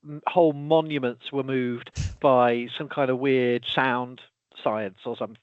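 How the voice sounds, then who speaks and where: A man hosting a casual conversation, who talks in an animated way, breaks off and restarts often, and slips in exasperated asides. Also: mid-range voice, unhurried, smooth, dry recording, phone-quality sound. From lives in Wales. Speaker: podcast host, archaeology professor.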